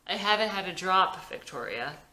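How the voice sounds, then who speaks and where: A woman's voice: lowish voice, animated, normal speed, pushed and bright, low-quality recording. A woman voicing a sober, dismissive doctor husband with a dry, matter-of-fact manner in a drama script reading.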